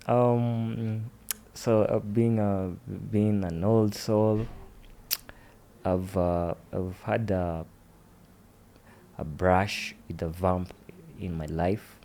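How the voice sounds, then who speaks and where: A man in his twenties speaks as a podcast guest. His voice sits very low, and he talks slowly, pausing often with fillers. It is a relaxed, casual chat. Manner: slow, hesitant, with many fillers.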